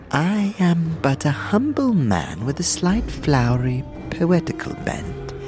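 A soft, breathy older barfly with a pompous poetical bent. He speaks low and slowly in an audio drama, halting between flowery phrases, with music behind him. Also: exaggerated voice. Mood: genial, flowery. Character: short rotund older male tavern drinker, humble, poetical, chaos-loving.

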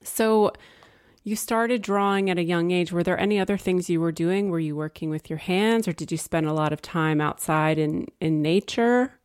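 A woman's voice: lowish voice, normal speed, a little mellow, clean tone. Curious woman podcast host. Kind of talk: casual interview.

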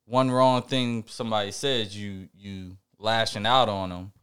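A young man co-hosting a podcast, talking in a reflective, halting way with many fillers and restarts. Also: fairly low pitch, steady tone, fairly bright tone, smooth. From Puerto Rican family background. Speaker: podcast co-host. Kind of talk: casual podcast chat.

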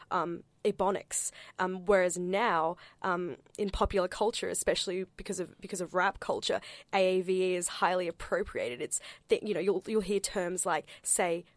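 A woman's voice: on the low side, no room sound. A young woman with an Australian accent whose first language is not English, speaking in a discussion with many fillers and restarts. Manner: hesitant, full of fillers and restarts. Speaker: panel speaker.

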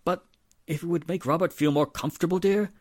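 An actor playing a middle-aged, polite and hesitant wealthy father with a measured manner in a 1940s-style radio drama. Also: a little high, fairly even intonation, darkish tone, dry recording, clean studio sound, lightly acted. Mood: hesitant, insinuating.

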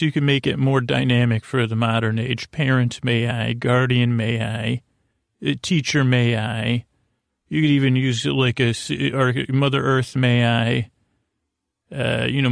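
A man with a creaky voice hosting a podcast in a soothing, long-winded bedtime monologue that meanders and interrupts itself. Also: medium pitch, no echo, clean studio sound. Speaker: podcast host.

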